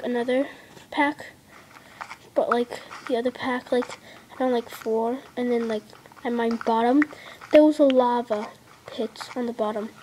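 A woman's voice: a little high, a little mellow, slight background noise, low-quality recording. A young girl casually narrating her mobile gameplay indoors, rambling with lots of fillers and dropping into half-whispers. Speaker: gameplay vlogger. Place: indoors at a table. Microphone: device camera filming a handheld screen.

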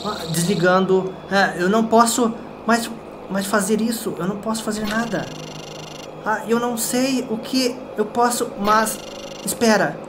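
A man with a Brazilian accent voicing a friendly, anxious game robot that speaks in a halting, pleading way. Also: high-pitched, steady tone, background noise, voice pushed up. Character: friendly male robot, anxious as its system fails. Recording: loud game music behind.

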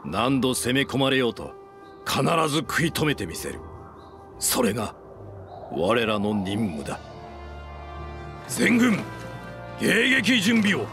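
An actor playing an earnest, dutiful general in a video game, formal and firm. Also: male voice, mid-range voice, very animated, slow, pauses often. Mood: determined, commanding. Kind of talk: dramatic Japanese video game cutscene acting. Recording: studio voice over loud game music.

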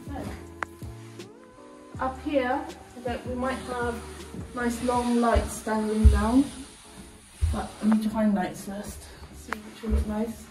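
A woman's voice: a little high, level tone, slow, pauses often. Echoey, distant woman vlogger on a house tour. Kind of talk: casual walk-and-talk narration. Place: empty house rooms, hallway and stairs, indoors. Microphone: camera held by another person, several metres away. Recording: echoey empty rooms, distant mic, background music. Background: music.

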